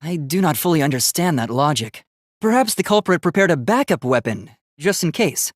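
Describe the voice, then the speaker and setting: A man's voice: fairly high pitch, sing-song, normal speed, dry recording, clean studio sound. A man voicing an earnest, polite young robot student in a video game, with formal, precise, logical speech that gets easily flustered.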